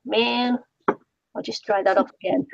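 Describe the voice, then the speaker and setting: A woman's voice: on the high side, steady tone, a little slow, gravelly, dry recording, muffled recording. A woman co-hosting a craft stream, chatting playfully and teasing her co-host. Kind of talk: casual chat while crafting.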